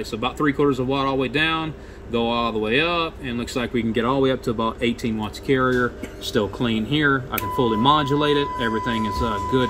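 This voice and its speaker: A man with a mid-pitched voice talks casually through a hands-on equipment test. His manner is folksy and informal, like a technician at the workbench. There is clear steady noise in the workshop behind him. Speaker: radio technician demonstrating a repaired CB radio. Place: indoor electronics workbench.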